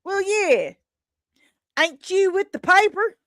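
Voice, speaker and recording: A woman voicing a gruff, suspicious rural man in his fifties, with a blunt folksy drawl, in a dramatic comic reading. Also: very high voice, lively intonation, slow pace, a bit bright, no room sound, clean studio sound, voice pushed up, heavily acted. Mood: defiant.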